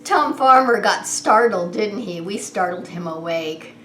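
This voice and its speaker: A woman hosting story time as a preschool teacher, reading in a sing-song, animated way and coaxing small children with questions. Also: mid-range voice, a little slow. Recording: roomy echo with some background noise.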